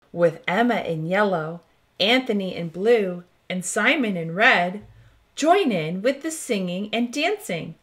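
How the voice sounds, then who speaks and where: A woman hosting a children's storytime, reading a picture book aloud in an expressive, deliberate way. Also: mid-range voice. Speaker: host of children's read-aloud show.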